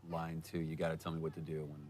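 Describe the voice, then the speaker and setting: Very deep-voiced man in casual commentary. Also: monotone, fast talker, darkish tone. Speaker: commentary participant. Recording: noticeable background noise.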